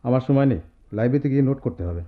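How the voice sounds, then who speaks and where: An actor playing an aloof, self-possessed young male student in a Bengali radio drama, measured and dry. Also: mid-range voice, animated, natural voice. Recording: tinny phone-like audio, clear vehicle noise.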